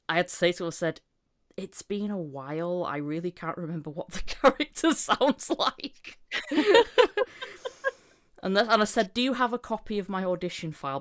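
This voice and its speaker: Female podcast host, low register, casual and chatty, giggly and self-deprecating voice actor talking shop. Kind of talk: casual podcast chat about voice acting work.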